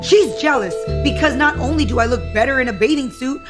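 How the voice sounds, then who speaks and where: A woman's voice: high-pitched, a little fast, music in the background, close to an everyday voice. An actress playing a manipulative, needling woman in an audio soap opera, coaxing and insistent as she speaks. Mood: indignant.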